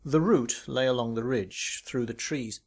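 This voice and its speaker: A man's voice: mid-range voice, measured pace, darkish tone. A man reading his own short story as a scripted narration.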